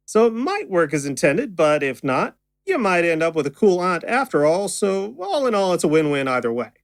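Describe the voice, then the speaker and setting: A man's voice: sits high, very animated, moderate pace, no room sound. A man playing a chatty, unflappable stage magician in an audio drama, with wry conversational patter. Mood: wry, upbeat.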